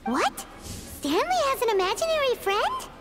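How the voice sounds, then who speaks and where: An extremely high, squeaky little fairy girl from a video game. She is blunt and exclamatory, with hugely animated swings. Loud music sits behind her. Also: measured pace, exaggerated voice. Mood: puzzled. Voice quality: squeaky, childlike. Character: tiny blunt, excitable fairy-like girl companion.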